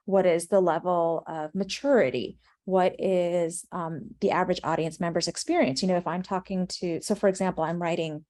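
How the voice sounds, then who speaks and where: A woman's voice: lowish voice, warm tone. A woman with an American accent giving thoughtful interview answers as a writer, earnest and reflective in manner. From United States. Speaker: screenwriter and playwright, interview guest.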